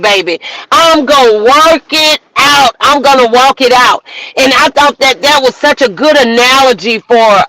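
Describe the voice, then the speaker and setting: A woman minister hosting a livestream, giving an emphatic, preacherly motivational talk that at times rises to shouts. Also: a little high, no room sound, poor audio. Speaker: minister and host. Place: outdoors walking in the rain.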